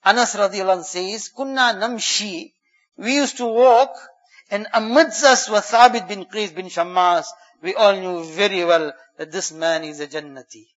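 A man preaching a sermon, telling stories, who swings between loud emphatic phrases and hushed quiet ones and quickly chants Arabic verses. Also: high-pitched, lo-fi sound. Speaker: Islamic preacher. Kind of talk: sermon storytelling.

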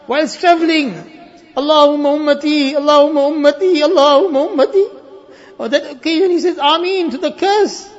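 High-pitched husky man in pleading prayer. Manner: pleading, emotional, rising from hushed to loud cries. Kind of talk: impassioned supplication with repeated refrains. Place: live religious gathering. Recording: muffled, dull lo-fi live recording.

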